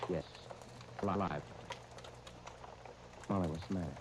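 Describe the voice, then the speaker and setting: A man's voice: low voice, fairly even intonation, measured pace. A man playing a grave, commanding doctor in a 1930s radio drama. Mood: grave, steady. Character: grave, commanding doctor breaking momentous news to friends. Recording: noisy 1939 radio broadcast disc.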